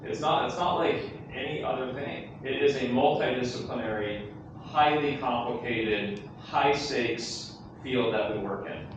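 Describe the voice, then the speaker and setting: A man's voice: medium pitch, measured pace. Emphatic man speaking in an echoey hall. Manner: enthusiastic, emphatic, repeats phrases. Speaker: conference co-presenter. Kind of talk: conference closing remarks. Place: large conference hall. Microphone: handheld mic that keeps cutting out. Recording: echoey hall, distant from mic, background noise.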